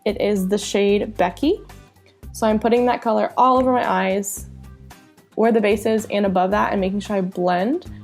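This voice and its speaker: Young woman doing a makeup tutorial voiceover, stuffy nasal from a cold, gravelly, chatty, faint music behind. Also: medium pitch, fairly even intonation, moderate pace, a little mellow. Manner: chatty, upbeat explaining. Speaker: beauty vlogger.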